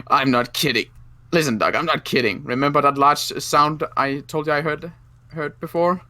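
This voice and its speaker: A man acting as an injured, wry survivor, groaning and halting through pain in a game roleplay. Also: a little high, pushed and bright, no room sound. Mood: pained, earnest.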